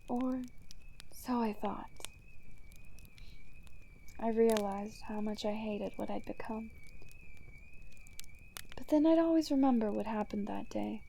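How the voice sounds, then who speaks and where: A woman playing a gleeful, chaos-loving female supervillain in an ASMR roleplay, teasing and breathy, often near a whisper. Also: on the high side, slow, long pauses, mellow, slight background noise. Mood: brooding, sly. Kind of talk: ASMR roleplay monologue to the listener.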